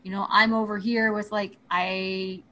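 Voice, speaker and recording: A woman's voice: mid-range voice, flat delivery, unhurried, sharp tone. Female talker, casual thoughtful chat, faint background hum. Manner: thoughtful, circles back to her point.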